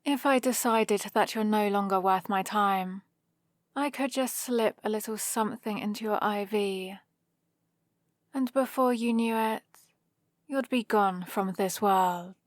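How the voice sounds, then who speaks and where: Woman playing a slow-talking, haughty British villainess. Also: medium pitch, dry recording, lightly acted. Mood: commanding, threatening. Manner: dry, sardonic, imperious. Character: haughty female supervillain, teasing, commanding, grudgingly caring. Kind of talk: ASMR roleplay, acted monologue to the listener. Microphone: close microphone, dry.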